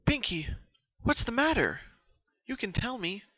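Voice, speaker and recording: A man's voice: very high-pitched, sing-song, measured pace, pushed and bright, no room sound, pitched above the performer's own voice, exaggerated voice, low-quality recording. A man voicing a kind, concerned young female cartoon unicorn, polite and attentive, in a storybook reading. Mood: worried, coaxing. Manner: polite, solicitous.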